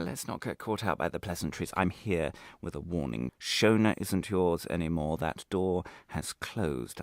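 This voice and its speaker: A man playing a menacing state interrogator in a radio play, silkily polite, gloating and possessive, teasing with drawn-out pauses and questioning hums. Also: deep voice, gravelly, no room sound, clean studio sound, exaggerated voice. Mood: warning, taunting.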